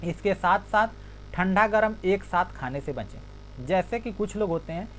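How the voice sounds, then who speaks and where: A woman's voice: medium pitch, lively intonation, measured pace, faint music behind. A woman giving an explanatory health talk as an educator, restating each point in other words. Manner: repeats each point with a rephrasing.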